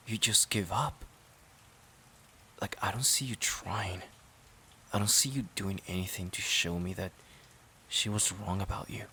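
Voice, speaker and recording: A man playing a resentful, overworked boyfriend in an emotional audio roleplay, halting and sighing as he repeats himself. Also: low voice, bright, forceful tone, slight background noise. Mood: hurt, regretful. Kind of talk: emotional audio roleplay, intimate dramatic monologue.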